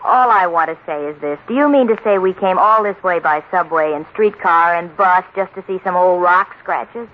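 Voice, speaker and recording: A woman's voice: on the low side, normal speed, few pauses, close to an everyday voice. An actress playing a grumpy, weary, complaining woman with a blunt, sardonic manner in an old radio drama. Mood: disgruntled. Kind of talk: 1940s radio drama acting. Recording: tinny, band-limited old radio recording.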